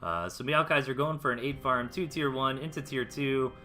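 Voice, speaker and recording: A man's voice: medium pitch, moderate pace, sharp tone. An American man casting a strategy game replay in a casual, slangy, explanatory way, with music behind him. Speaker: esports replay caster. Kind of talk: live game commentary, casual.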